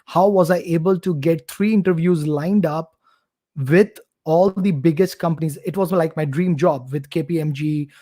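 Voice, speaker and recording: A man's voice: a little high, normal speed, warm tone. Eager man in his thirties. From India. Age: 30s. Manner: eager, talkative, promotional. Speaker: guest, course creator. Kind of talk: casual live-stream interview. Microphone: computer mic on a live video stream.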